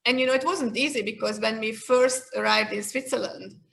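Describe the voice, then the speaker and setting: A woman's voice: fairly high pitch, fairly quick, creaky, fairly bright tone. A woman in her fifties from Hungary with a Hungarian accent, answering interview questions in a reflective, conversational way. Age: 50s. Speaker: interview guest, leadership expert.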